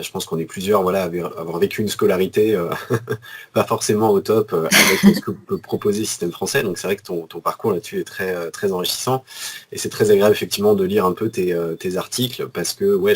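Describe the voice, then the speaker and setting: A man hosting an interview, speaking in a halting way with many fillers. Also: low voice, fairly even intonation, husky. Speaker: host. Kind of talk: casual interview chat.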